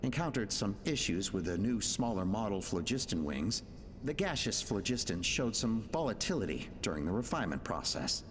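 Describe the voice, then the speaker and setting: An actor playing an ambitious male inventor who dictates his research log in a video game, earnest and deliberate. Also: lowish voice, big pitch swings, faint music behind. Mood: troubled. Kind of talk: English video game voice acting. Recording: long reverb, game ambience behind.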